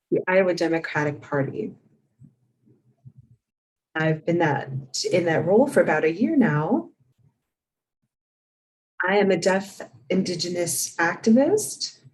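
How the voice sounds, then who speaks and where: A woman giving live spoken interpretation for a deaf panelist, in a measured, steady way. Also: lowish voice, far from the mic, poor audio. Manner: measured, steady, spells names letter by letter. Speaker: sign language interpreter voicing a deaf panelist.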